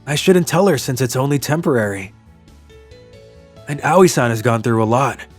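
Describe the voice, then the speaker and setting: Casting: male actor as earnest young office worker, mid-pitched, narrating a manga love story over faint music. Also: animated, moderate pace, close to an everyday voice. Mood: wistful, reflective.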